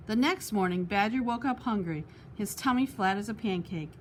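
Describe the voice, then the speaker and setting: A woman's voice: mid-range voice, moderate pace, slight background noise. A woman reading a children's picture book aloud expressively, as a storytime host. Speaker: storytime reader.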